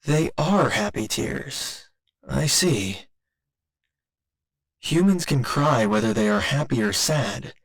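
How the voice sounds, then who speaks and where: A man's voice: mid-range voice, expressive, slow, pauses often. Man acting as a formal, tender robot boyfriend. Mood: tender, earnest. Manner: formal, clinical phrasing spoken tenderly and hesitantly. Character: gentle male robot boyfriend learning to feel love. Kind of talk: ASMR roleplay acting.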